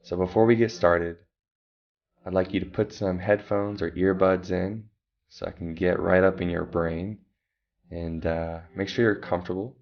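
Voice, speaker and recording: A man calmly guiding a meditation, giving slow breathing instructions with long pauses. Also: low-pitched, muffled recording. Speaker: meditation guide. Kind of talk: guided meditation.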